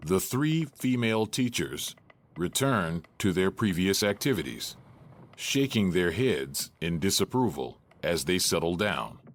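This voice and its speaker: A man's voice: sits low, very animated, measured pace. A man narrating the stage directions of an audio drama in a detached, matter-of-fact manner.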